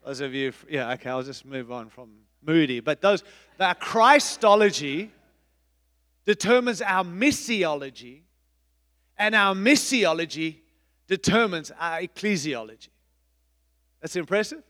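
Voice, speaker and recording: A middle-aged man, a pastor, preaching a sermon in an emphatic, repetitive style with big swings in loudness. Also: on the high side, animated, unhurried, pauses often, long reverb tail. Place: church.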